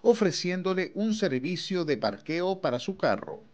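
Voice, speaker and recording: A man's voice: sits high, big pitch swings, background noise. Formal male news narrator. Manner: measured, formal reading. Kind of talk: scripted news voice-over.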